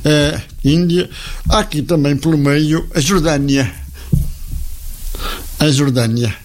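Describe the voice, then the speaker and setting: Man, European Portuguese accent, moderately high pitch, casual interviewer, hesitant repeated openings. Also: slow pace, darkish tone, a little hiss or hum. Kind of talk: informal conversational interviewing.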